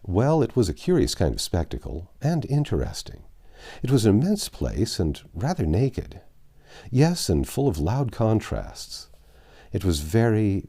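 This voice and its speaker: A man narrating a classic novel aloud in an expressive storybook style, savouring phrases with dramatic pauses. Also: lowish voice, creaky, husky. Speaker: audiobook narrator.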